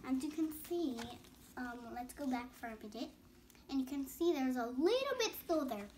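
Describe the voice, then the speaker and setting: A woman's voice: high-pitched, animated, normal speed, a little mellow, a little hiss or hum. A young girl reading a bedtime story aloud with casual explanatory asides, stumbling over words and rereading phrases. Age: child.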